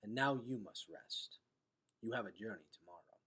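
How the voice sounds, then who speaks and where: A kindly, wise male elder from a storybook, read by a man. He speaks in formal, old-fashioned phrases with a husky edge and very lively intonation, measured in his wording. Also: lowish voice, heavily acted. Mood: gently encouraging.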